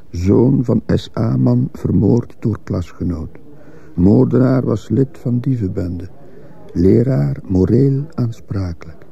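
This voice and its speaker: An actor playing a brooding, troubled man who narrates a radio play in the first person, grave and measured, with a gravelly, husky voice. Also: fairly low pitch, dark tone, heavily acted. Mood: grave, bitter. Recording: muffled, dull radio play recording.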